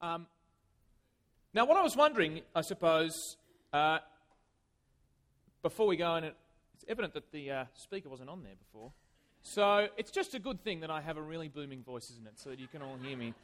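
A man preaching a conversational sermon in a church, chatty and self-deprecating, with lively swings of emphasis. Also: on the high side, normal speed.

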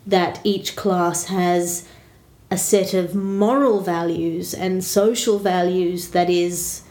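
A woman's voice: on the low side, fairly even intonation, slow, darkish tone. A woman with an Australian accent in casual chat, talking through her points thoughtfully and qualifying them as she goes. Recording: roomy echo.

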